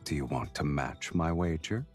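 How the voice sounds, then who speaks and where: Super deep voiced smug crime boss from a romance game, teasing sarcastic drawl, music under it. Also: male voice, normal speed. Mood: coolly confident. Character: sardonic, smug crime lord with an eerie power. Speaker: game character. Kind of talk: English voice acting in a romance game.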